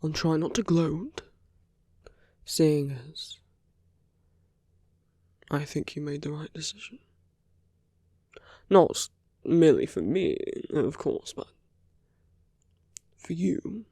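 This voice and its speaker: A man voicing a charming, flirtatious villain with a tender side in an intimate ASMR roleplay, soft and teasing, often dropping to a whisper. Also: fairly high pitch, animated, takes it slowly, long pauses, slightly rough, no room sound, clean studio sound, heavily acted. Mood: earnest, gentle.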